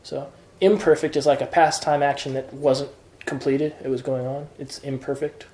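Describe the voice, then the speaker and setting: Male tutor, patient, step-by-step explainer, warm tone, roomy echoey recording. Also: medium pitch, fairly even intonation, moderate pace. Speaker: Greek tutor. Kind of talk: informal one-on-one lesson.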